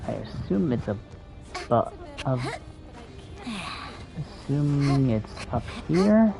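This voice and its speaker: A man's voice: medium pitch, very animated, slow, creaky. A man doing casual livestream gameplay commentary, muttering and thinking aloud to himself. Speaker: game streamer. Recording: dull lo-fi microphone, music behind. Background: game music.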